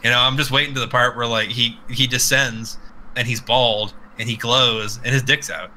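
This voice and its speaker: Bright, sharp-voiced man rambling over background noise. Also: medium pitch, steady tone, moderate pace, rough recording. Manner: crude, swearing, rambling with exaggerated quoted reactions. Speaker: podcast co-host. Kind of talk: casual comedic chat about video games.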